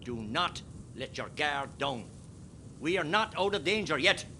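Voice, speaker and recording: Slow, commanding Irish rebel leader. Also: male voice, fairly high pitch, animated, sharp tone, a little hiss or hum. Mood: solemn, rousing. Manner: slow, declamatory, barking orders. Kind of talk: radio play acting.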